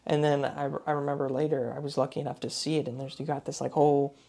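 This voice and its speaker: A college-radio host talks with a guest in a relaxed interview. The host rambles through questions, leaning on "like" and "you know," curious and chatty. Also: mid-range voice, quick pace, vocal fry. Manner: curious, rambling, many likes and fillers.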